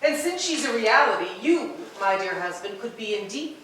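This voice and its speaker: A woman acts out a wistful, rapturous wife in a stage play, her voice fairly high. She rehearses in a very echoey room, picked up by a distant mic with faint noise behind. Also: big pitch swings, normal speed, fairly bright tone. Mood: cool, gracious. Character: wistful wife rapturous over her imaginary old lover.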